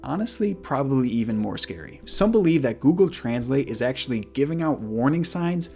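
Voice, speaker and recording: A man whose first language is Igbo, hosting a casual vlog in an excitable, swearing, often disbelieving way. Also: medium pitch, brisk, soft background music. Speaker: YouTube host.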